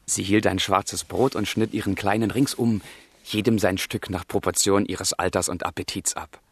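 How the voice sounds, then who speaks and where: An actor playing an ardent, romantic young man who narrates his letters in a lyrical, expressive way in a radio play. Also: low-pitched, moderate pace, husky, no echo. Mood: tender.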